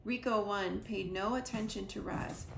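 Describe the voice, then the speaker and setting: A woman reading a children's storybook aloud with expressive narration, recorded in a roomy living room. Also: mid-range voice, measured pace, gravelly. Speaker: reader, school librarian or teacher. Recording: roomy echo, faint background noise.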